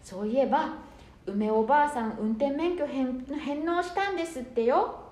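A woman playing a gentle, polite wife who soothes her family in an amateur radio drama. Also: high-pitched, moderate pace, a little hiss or hum, close to an everyday voice. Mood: soothing, concerned. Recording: echoey room.

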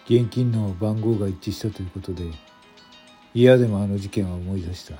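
A man playing a regretful veteran police detective in a radio drama, musing in gruff, terse phrases. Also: lowish voice, fairly even intonation, brisk, mellow, faint music behind.

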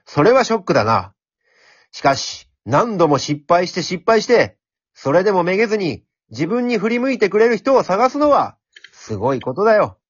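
A man voicing a brash, cheeky talking pencil in an amateur radio drama, speaking in rough, cocky, encouraging slang. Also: sits high, very animated, normal speed, no echo, dull audio, heavily acted. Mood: sympathetic, encouraging.

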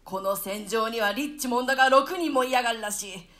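A woman's voice: a little high, a little fast, a bit bright, natural voice. A woman playing a desperate, ferocious villain king in a classical stage play, with imperious outbursts. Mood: scornful, defiant. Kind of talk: classical stage-play reading in archaic Japanese.

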